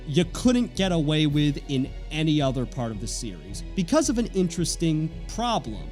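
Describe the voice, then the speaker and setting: A young man delivers scripted narration as a creator introducing his work. His voice sits a little high for a man. Music plays behind him. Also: darkish tone. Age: young adult. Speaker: writer and creator of an audio drama series.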